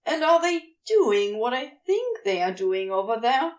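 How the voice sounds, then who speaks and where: A woman's voice: fairly high pitch, sing-song, unhurried. A woman voicing a wily, affectionate male demon in a fan fiction audio story, teasing and sardonic in a casual way. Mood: appalled, sardonic.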